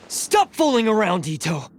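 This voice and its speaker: A man's voice: high voice, a little hiss or hum, heavily acted. An actor playing a proud, anguished young male blue oni in a video game, intense, stubborn and dramatic.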